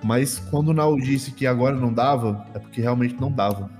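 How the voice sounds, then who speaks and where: A Brazilian man improvising tabletop game narration as game master, halting and often repeating his phrases. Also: medium pitch, darkish tone. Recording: long hall reverb, music behind.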